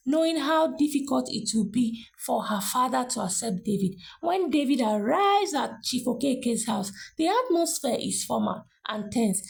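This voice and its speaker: Female narrator, high lively voice with a creaky edge, for dramatic scripted storytelling.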